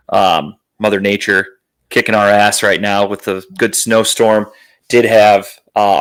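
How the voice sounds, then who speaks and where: A man hosting a podcast in casual chat, telling rambling, profane stories in a slightly raspy, worn, phlegmy voice. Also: on the low side, fairly bright tone. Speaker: podcast host.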